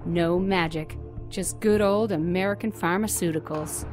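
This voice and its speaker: Lowish-voiced female villain played by an actress, menacing and gloating, thriller radio play, heavy music underneath. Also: measured pace, close to an everyday voice. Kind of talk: audio drama acting. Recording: loud music over the voice. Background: loud music.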